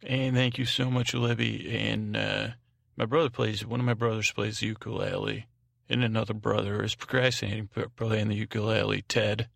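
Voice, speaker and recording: A man hosting a sleep podcast with an improvised, meandering monologue, halting, repeating himself and trailing off in a creaky voice. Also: on the low side, level tone, a bit bright, dry recording, clean studio sound. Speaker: podcast host. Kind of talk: rambling, improvised bedtime monologue.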